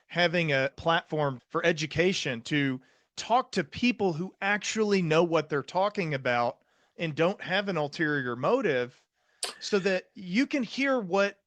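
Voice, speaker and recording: A man's voice: a little high, a bit bright, no echo. A man hosting a conversational interview as a psychiatrist, talking in an earnest, explanatory way. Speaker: podcast host, psychiatrist.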